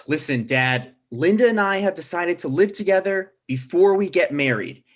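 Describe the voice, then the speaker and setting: Man as an awkward, animated grown son. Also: high-pitched, normal speed. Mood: firm. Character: nervous, placating adult son, trying to stand firm. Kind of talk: comedic script table read.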